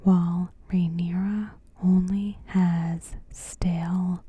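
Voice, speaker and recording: A woman narrates in a hushed ASMR whisper, extremely slow and careful. Her voice is very soft and mellow, full of breath, as she reads a scripted recap without any hurry. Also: on the low side, flat delivery, slightly rough, no echo. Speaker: ASMR creator.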